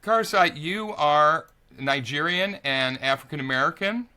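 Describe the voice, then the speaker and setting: A man's voice: fairly high pitch, moderate pace, sharp tone, slight background noise. A man hosting an interview, upbeat and reading out his guests' introductions. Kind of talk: conversational interview.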